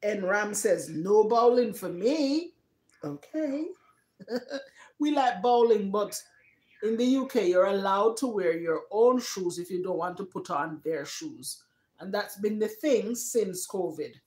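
A woman's voice: medium pitch, a little slow, darkish tone. Jamaican woman chatting in an echoey room. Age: middle-aged. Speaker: live stream host. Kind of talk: casual live chat, reading out viewers' comments. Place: living room, indoors. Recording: roomy echo of a living room.

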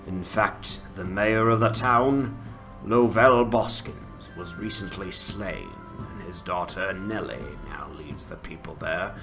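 A man voicing a grave, courteous ranger leader with a formal, measured delivery in a fantasy game dramatization. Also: low-pitched, gravelly, a bit bright, soft background music, voice pushed down, full character voice. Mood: solemn, approving.

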